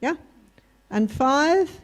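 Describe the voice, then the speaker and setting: Slow, patient woman co-teaching a workshop. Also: sits high, animated. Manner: slow, step-by-step, prompting the group. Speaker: workshop co-facilitator. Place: university hall workshop.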